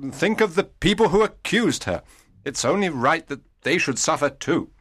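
A man playing a stubborn, protective old brother in a dramatised audiobook, gruff and insistent. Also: a little high, expressive, normal speed, a bit bright. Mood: advising.

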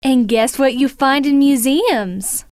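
A woman voicing a curious, keen young female amateur sleuth in an audiobook, speaking deliberately and expressively. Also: high voice, darkish tone, no room sound, clean studio sound. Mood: excited. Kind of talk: audiobook character dialogue.

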